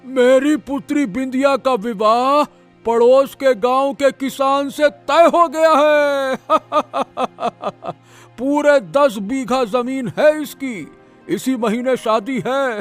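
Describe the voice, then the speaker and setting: A man voicing an excitable, jolly older village neighbor in a story, insistent and exclamatory. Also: extremely high pitch, slow pace, soft background music, pitched above the performer's own voice. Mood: proud, delighted.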